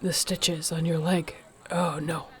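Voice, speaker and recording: A woman playing a protective, tender orc warrior girlfriend in an intimate audio roleplay, speaking in a soft, earnest way. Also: low voice, level tone, takes it slowly. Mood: tender, relieved. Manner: soft, earnest, soothing, partly whispered.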